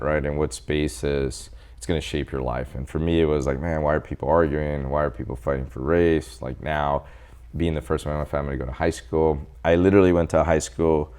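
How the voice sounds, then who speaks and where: A man in his forties from El Salvador, a doctor telling his life story as a guest in a casual interview, animated and quoting people as he goes. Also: extremely low pitch, smooth. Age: 40s.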